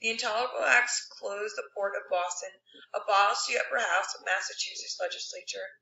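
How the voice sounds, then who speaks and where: A woman's voice: mid-range voice, a little fast, vocal fry, sharp tone. A woman narrating a scripted lesson text as a read-along. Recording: roomy, dull, distant, faint hum.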